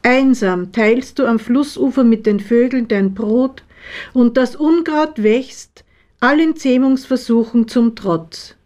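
A woman reading her own poems in a measured, deliberate way with pauses between phrases. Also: a little high, a little mellow. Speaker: poet, author reading own work. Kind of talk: literary poetry reading.

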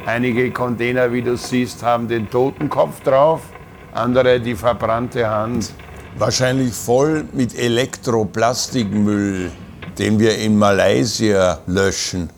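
A gravelly, slow-talking old sea hand. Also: male voice, medium pitch, steady tone, a little hiss or hum. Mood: matter-of-fact, amused. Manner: colloquial, rambling, matter-of-fact. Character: weathered veteran able seaman, easygoing fatherly storyteller. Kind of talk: German radio play acting, conversational anecdotes.

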